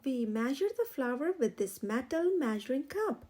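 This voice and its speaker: A woman's voice: high-pitched, expressive, moderate pace, dark tone. A woman voicing a big brown male rooster in a storybook, fed up but proud, with an emphatic, exclaiming manner. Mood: exasperated, bossy.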